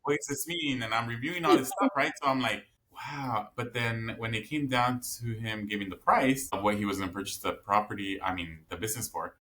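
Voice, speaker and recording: A man hosts a business podcast in a very low voice with a bright, forceful edge. He talks in a chatty, rambling way, retelling stories full of fillers. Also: fairly quick, hardly any pauses. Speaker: podcast host. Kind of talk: conversational interview.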